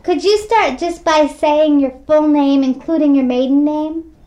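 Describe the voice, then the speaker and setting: A woman conducts an oral history interview in someone's home. Her voice sits rather high, and she keeps her questions short and plain. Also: measured pace, warm tone.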